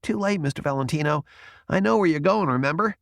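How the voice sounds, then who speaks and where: A man's voice: on the high side, expressive, quick pace, gravelly, no room sound, studio quality, pitched above the performer's own voice. A man voicing an earnest, defensive young male intern. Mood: insistent.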